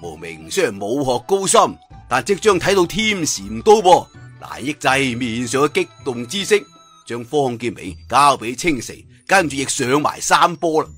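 A man's voice: medium pitch, very animated, moderate pace, fairly bright tone, husky, soft background music. A man with a Cantonese accent telling a martial-arts tale in a dramatic oral storytelling style, with asides to listeners. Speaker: audiobook storyteller.

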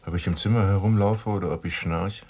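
An actor playing a serious, determined writer in a German radio drama, speaking in a measured way. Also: male voice, deep voice, fairly even intonation, darkish tone, husky. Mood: uneasy. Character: determined male writer searching for his brother.

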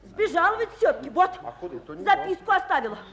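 A woman's voice: very high-pitched, big pitch swings, fairly quick, fairly bright tone, full character voice. A woman acting a worried, motherly aunt in a radio play, grumbling and scolding in homely speech. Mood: anxious. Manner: grumbling, colloquial. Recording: large echoing hall with crowd.

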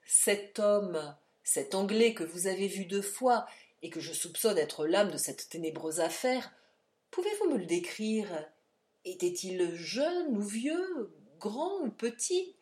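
A woman reading aloud and voicing an old male doctor who lectures in a forceful, emphatic, scornful way. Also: mid-range voice, big pitch swings, slow pace. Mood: lecturing.

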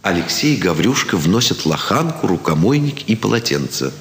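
A male narrator reads scripted stage directions. His pitch moves very widely, and the voice rings in a reverberant hall with faint background noise. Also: very animated, moderate pace.

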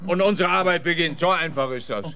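An actor playing a grumpy, sarcastic older police officer in a radio crime comedy, blustering and smug. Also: male voice, on the high side, quick pace, natural voice.

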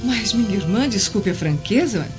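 A woman's voice: medium pitch, expressive, moderate pace, close to an everyday voice. An actress playing a blunt, insinuating Roman noblewoman in a radio drama. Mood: reproachful. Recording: dull lo-fi, clear music behind.

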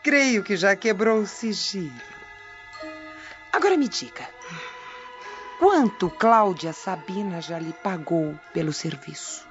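A cunning young servant woman talks in a cool, measured, probing way. She takes her time over each line. The radio-drama audio is slightly dull, with soft music behind. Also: medium pitch, lively intonation. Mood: calculating. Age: young adult. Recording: slightly muffled with background music.

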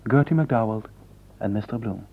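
A man's voice: on the low side, normal speed, vocal fry, husky. A man announcing on the radio, reading a scripted programme introduction. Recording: tinny band-limited old radio broadcast.

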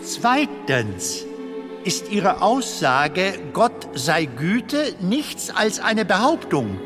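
Playful film comedian, theatrical, eager, radio drama, animated swoops, music behind. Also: male voice, high voice, extremely slow, full character voice. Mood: sceptical, provoking. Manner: expressive, theatrical. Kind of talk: German radio drama acting. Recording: long hall reverb, music behind.

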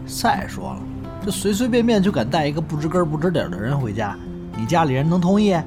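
A male actor plays a genial, streetwise young man with a northern Chinese accent. He talks colloquially and placatingly in a slightly high, very lively voice, over clear music in an audio drama. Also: fast talker. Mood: teasing. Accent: northern Mainland Chinese. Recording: music behind the voice.

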